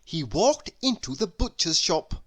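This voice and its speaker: A storybook narrator reading a children's tale aloud in an animated, expressive way. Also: medium pitch, measured pace. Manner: animated, expressive, with emphatic sound words. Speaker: storybook reader.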